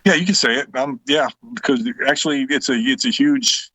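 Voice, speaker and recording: An American man from Southern Illinois talks casually as a guest. His pitch is average for a man. The recording is dry, with hardly any echo. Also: moderate pace, pushed and bright, husky. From Southern Illinois, United States. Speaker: guest, survival class teacher. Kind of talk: casual chat.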